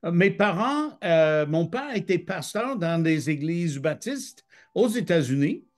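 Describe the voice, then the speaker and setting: A man's voice: a little high, sing-song, measured pace. A middle-aged man from Michigan, a guest telling his life story in French over a video call, hesitating with many fillers. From Michigan, United States. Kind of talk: testimony interview.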